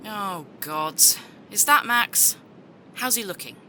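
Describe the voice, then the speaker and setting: Brash, sharp-tongued female agent: very bright, forceful, animated, blunt; audio drama. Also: mid-range voice, takes it slowly, a little hiss or hum, full character voice. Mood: guarded, friendly. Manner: blunt, challenging.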